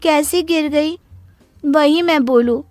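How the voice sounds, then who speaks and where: A woman's voice: high-pitched, slow pace, a little mellow, no echo, faint music behind, voice pushed up. Woman playing a spoiled, bratty daughter. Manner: bratty, demanding.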